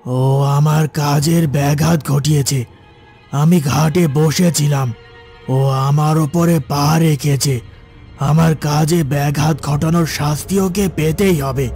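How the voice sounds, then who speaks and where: A man acting a menacing jinn bent on revenge. The voice is dark and heavy, in the dramatic manner of a horror cartoon, with music behind it. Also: a little high, fairly even intonation, unhurried, vocal fry, husky, full character voice. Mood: vengeful, angry. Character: vengeful menacing jinn possessing a villager.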